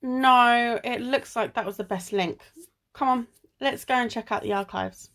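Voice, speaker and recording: Actress as a high-voiced, sensible, bossy woman sleuth. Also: measured pace, fairly bright tone. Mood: businesslike. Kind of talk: amateur radio play acting. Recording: recorded at home in isolation.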